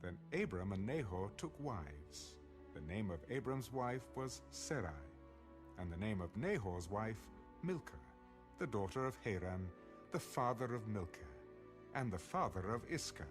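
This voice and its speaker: A man with a low voice narrates scripture formally. He takes it slowly and lets his pitch rise and fall widely. Faint music plays behind him. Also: sing-song. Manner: measured, formal. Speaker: audio Bible narrator. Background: soft background music.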